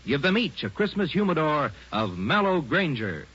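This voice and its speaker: A man reads a 1940s radio advertisement as a polished pitchman. His voice is fairly high with animated swings, on a dull old recording with faint music. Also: moderate pace, slightly rough. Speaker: commercial announcer.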